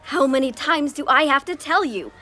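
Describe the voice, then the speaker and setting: A bright-eyed teenage girl in an audio drama, hooked on history and pushing her theories eagerly. Her voice sits high, with faint music beneath. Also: normal speed. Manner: excited and insistent.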